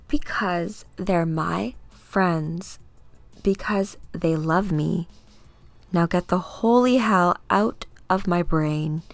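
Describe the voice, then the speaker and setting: A woman's voice: on the low side, slow pace, vocal fry, faint music behind. A woman playing a tough comic book superheroine who stands her ground with firm defiance. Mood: defiant.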